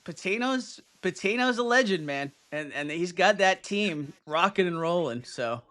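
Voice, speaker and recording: A man's voice: high voice, animated, normal speed, bright, forceful tone. A man hosting a podcast in casual chat, eager and often stumbling over his words. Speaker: podcast host.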